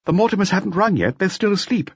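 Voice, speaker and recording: A male narrator puts on an anxious hotel manager for an audiobook. The voice is pitched high and swoops about, fussy and hesitant, with a pleading, deferential stammer. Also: animated, quick pace, vocal fry, slightly rough, dry recording, lo-fi sound, exaggerated voice. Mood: flustered. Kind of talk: audiobook character voice.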